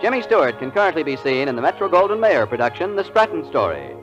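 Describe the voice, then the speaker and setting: A man's voice: on the high side, big pitch swings, quick pace, dull audio. A man with an American accent announcing formally and emphatically in polished 1940s radio style. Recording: old radio broadcast, music and crowd behind.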